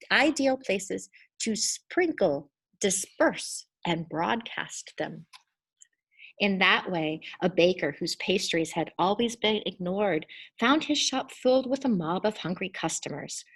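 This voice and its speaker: A woman reading a children's story aloud in an expressive, deliberate and emphatic storytelling style. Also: fairly high pitch, slightly rough, low-quality recording. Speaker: storybook reader.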